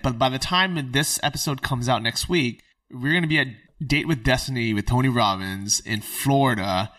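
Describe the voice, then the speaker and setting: An upbeat man hosts a casual podcast interview, loose and full of fillers. His voice is bright and sounds a little distant from the mic. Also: medium pitch. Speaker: podcast host.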